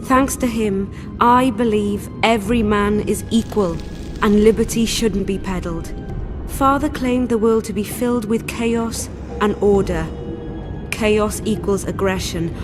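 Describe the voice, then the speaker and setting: Actress as earnest rebel commander woman over loud music. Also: medium pitch, natural voice. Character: proud young female rebel commander, secretly a dragon, idealistic. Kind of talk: dramatic fantasy game acting. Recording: loud background music under dialogue.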